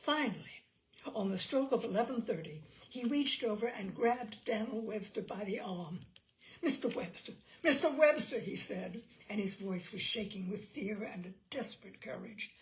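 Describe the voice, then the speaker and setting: A woman's voice: medium pitch, moderate pace, a little hiss or hum, poor audio. A woman narrating a literary short story aloud in a dramatic storytelling style.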